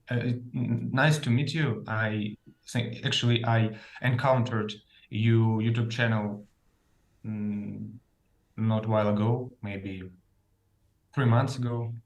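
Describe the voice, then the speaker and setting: A man from Russia with a Russian accent, a native Russian speaker, talking hesitantly about himself and searching for words. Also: lowish voice. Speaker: club participant, learner. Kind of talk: casual talk about himself.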